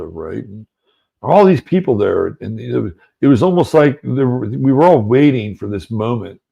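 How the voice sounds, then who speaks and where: Gravelly low-voiced man telling a rambling story. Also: dark tone, low-quality recording. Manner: rambling, conversational, many fillers and asides. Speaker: astrology show host. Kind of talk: casual storytelling monologue.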